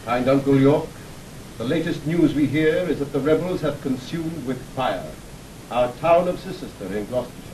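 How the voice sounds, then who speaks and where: A man's voice: medium pitch, expressive, moderate pace, warm tone, close to an everyday voice. Man acting a stern king, echoey and distant. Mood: regal, measured. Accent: British. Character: stern, authoritative newly crowned king. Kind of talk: classical Shakespearean radio acting. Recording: echoey, distant, faintly noisy 1960 recording.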